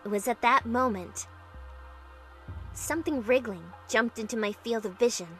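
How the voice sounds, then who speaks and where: A woman's voice: on the high side, moderate pace, a bit bright, close to an everyday voice. A woman playing an anxious, emotional young survivor, narrating a scripted drama in the first person with earnest feeling. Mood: tense, heartfelt. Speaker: narrator and lead character in an amateur fan dub. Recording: music playing behind the voice.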